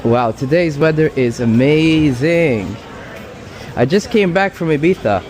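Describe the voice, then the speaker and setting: A man's voice: on the high side, expressive, a little slow, darkish tone. A man in his thirties from Sweden making breezy, self-sharing small talk with a stranger outdoors on a city street. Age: 30s. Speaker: dating coach approaching a stranger. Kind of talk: casual street conversation. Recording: busy street crowd noise.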